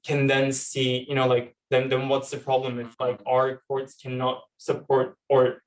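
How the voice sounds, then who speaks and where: This is a young man speaking at a panel event. His delivery is conversational and somewhat hesitant, and his pitch stays quite flat. He sounds some distance from the microphone, as in a live event recording. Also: unhurried. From Turkey. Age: young adult. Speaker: panel speaker, law student and activist. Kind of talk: informal panel talk with personal storytelling.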